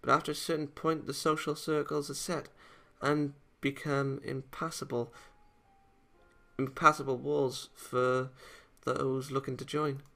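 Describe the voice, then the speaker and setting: A man's voice: mid-range voice, flat delivery, unhurried, creaky, a bit bright, soft background music. A man voicing a lonely, bitter, menacing schoolgirl from an anime visual novel, in a brooding read-aloud. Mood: pained. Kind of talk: dramatic read-aloud of game dialogue.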